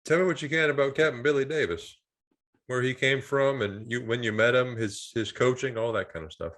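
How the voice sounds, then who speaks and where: A man's voice: mid-range voice, brisk, a bit bright. A man hosting a podcast, asking brief, direct interview questions. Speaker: podcast host.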